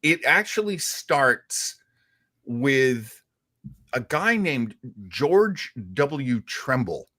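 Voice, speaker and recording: Animated, unhurried man hosting a show. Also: mid-range voice, no echo, studio quality. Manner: animated, deliberate storyteller with emphatic repetition. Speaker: radio show host. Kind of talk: casual storytelling talk show.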